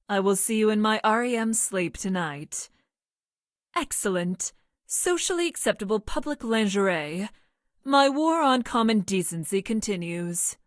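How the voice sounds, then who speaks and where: A woman's voice: medium pitch, no room sound, clean studio sound, lightly acted. A woman voicing a clinical, awkwardly smitten female scientist-assassin from the future in a video game, speaking in a stilted, formal way with precise diction. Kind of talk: video game character voice lines.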